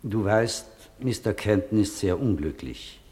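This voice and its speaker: A man acting a calm, fatherly judge in a 1950s courtroom radio drama, patient, gentle and formal in his questioning. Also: lowish voice, measured pace. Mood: gentle, coaxing.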